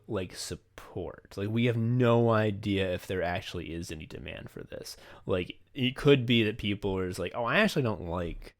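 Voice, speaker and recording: Low-voiced man, casual podcast co-host. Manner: frequent casual swearing. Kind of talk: casual behind-the-scenes podcast chat.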